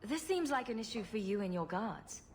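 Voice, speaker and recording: A woman's voice: on the high side, expressive, normal speed, a little hiss or hum, close to an everyday voice. An actress playing a sarcastic, dry-witted female hero. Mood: questioning.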